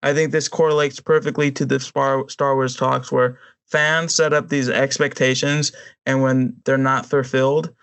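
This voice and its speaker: A man's voice: mid-range voice, flat delivery, normal speed, a bit bright, smooth. A man co-hosting a podcast, ranting casually to friends in a blunt, emphatic way. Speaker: podcast co-host. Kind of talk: casual opinion rant among friends.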